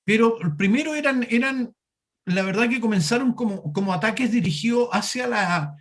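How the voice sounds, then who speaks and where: A Chilean man speaking as an interview guest over a video call, telling a long personal story in a halting way, with many fillers and restarts. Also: very high voice.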